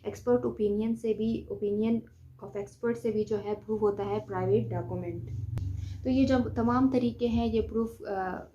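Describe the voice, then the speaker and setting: A woman with a dark, relaxed voice at a middling pitch delivers an explanatory lecture. She repeats points step by step and drops in English legal terms. The recording is dull and lo-fi. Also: measured pace, slight background noise. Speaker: law lecturer.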